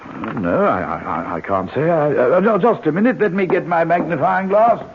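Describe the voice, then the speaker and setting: Radio drama role: flustered old English doctor, higher-pitched, stammering, animated, on a tinny vintage recording. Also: male voice, normal speed, a little hiss or hum. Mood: hesitant, earnest. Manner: hesitant, stammering. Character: fussy, flustered elderly English country doctor.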